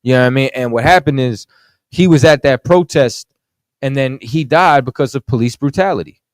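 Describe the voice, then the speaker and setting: A man's voice: mid-range voice, fairly quick, no room sound. A man talking casually on a podcast as a guest author, rambling with lots of "you know what I'm saying".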